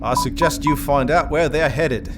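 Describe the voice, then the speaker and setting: An actor playing a laconic, hard-drinking gunslinger mercenary with a formal, old-fashioned manner in an audio drama. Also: male voice, on the high side, close to an everyday voice. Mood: dry, courtly. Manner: slow, formal, old-fashioned gentlemanly talk. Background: music.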